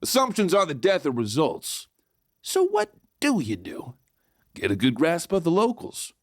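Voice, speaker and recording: Cocky Wild West outlaw with lively swings. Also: mid-range voice, a little slow, no echo, clean studio sound. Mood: sly narrating. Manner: showy, folksy, playful swagger. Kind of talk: theatrical first-person storytelling, audio drama.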